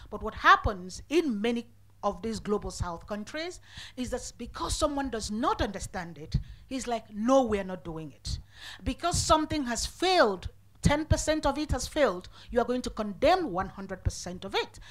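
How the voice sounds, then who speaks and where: A woman's voice: on the high side, big pitch swings, normal speed, rough recording. A woman giving emphatic, rhetorical remarks at a conference. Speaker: conference speaker.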